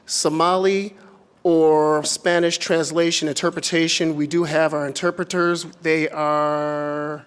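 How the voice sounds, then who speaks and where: A man moderating a public community meeting, making relaxed, informal announcements. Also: a little high. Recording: speaking in a hall with long reverb.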